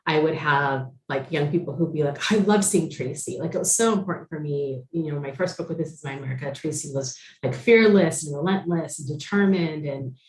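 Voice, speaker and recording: A woman's voice: low voice, fairly quick, hardly any pauses. A woman in her forties, a novelist, chatting casually about her writing with many fillers and restarts. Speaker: novelist guest. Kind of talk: casual conversation. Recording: roomy echo.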